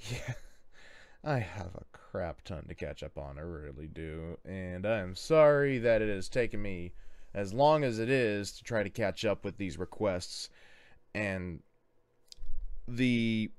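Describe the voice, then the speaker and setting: A man in his twenties hosting a reaction video, talking casually and haltingly into a close desk microphone in a bedroom. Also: mid-range voice, darkish tone, clean tone, no room sound. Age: 20s. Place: bedroom, indoors. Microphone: close desk microphone with pop filter, headset on.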